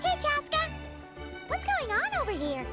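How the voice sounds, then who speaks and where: A tiny, excitable girl sprite from a video game, with a squeaky, childlike voice pitched extremely high. She chatters in lively swoops at an unhurried pace, with loud music behind her. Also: heavily acted. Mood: cheerful, curious.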